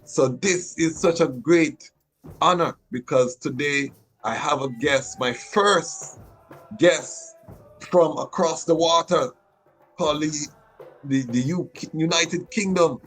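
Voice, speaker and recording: A man's voice: a little high, takes it slowly, a bit bright, breathy edge, faint music behind, low-quality recording. Man hosting with emphatic rhythm. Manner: rhythmic, emphatic delivery with frequent restarts. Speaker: podcast host. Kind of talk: podcast interview.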